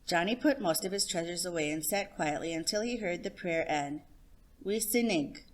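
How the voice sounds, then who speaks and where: A woman's voice: on the low side, a little fast. A woman storyteller giving a scripted narration of a children's story.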